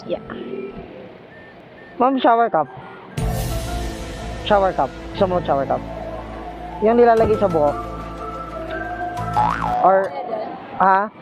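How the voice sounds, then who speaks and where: A man's voice: sits high, lively intonation, slow, pauses often, highs cut off, music in the background. A Filipino man chatting casually as a motorcycle taxi rider, playful and teasing with his passenger, talking through a helmet intercom outdoors. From Philippines. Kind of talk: casual bantering chat with a passenger. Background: vehicle and street noise.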